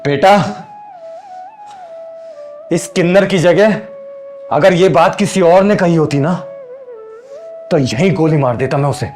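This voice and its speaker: A man's voice: a little high, takes it slowly, pauses often, a little mellow. An actor playing a stern rich father who talks to his son in a menacing, commanding way. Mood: threatening. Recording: roomy echo with faint music.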